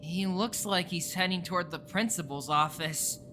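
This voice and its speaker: A woman voicing a gentle, anxious teenage boy in an audio drama, earnest and expressive. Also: on the low side, moderate pace, slight background noise. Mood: alert, reassuring.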